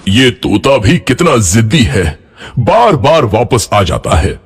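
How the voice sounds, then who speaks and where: A man playing a mild, weary village husband in a moral story, speaking deliberately. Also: mid-range voice, big pitch swings, breathy edge, faint music behind, exaggerated voice. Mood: mildly exasperated. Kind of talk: dramatized moral story dialogue.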